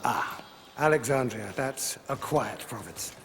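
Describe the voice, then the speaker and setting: A man's voice: medium pitch, animated, unhurried, fairly bright tone, a little hiss or hum. An actor playing an aging Roman veteran, a grave but fond father who speaks with solemn deliberation in a game cutscene. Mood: warm, proud. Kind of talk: cinematic game drama acting.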